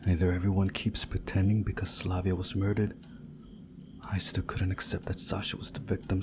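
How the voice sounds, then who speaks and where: A man reading a visual novel aloud as a playthrough host, in a measured, deliberate way with pauses. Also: low voice. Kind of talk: scripted narration of a visual novel with commentary.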